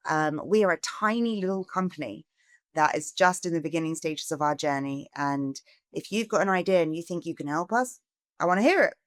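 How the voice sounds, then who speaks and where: A middle-aged woman from England, a charity founder giving a podcast interview, earnest and growing animated as she asks for help. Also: low-pitched, fairly quick, no echo, studio quality. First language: English.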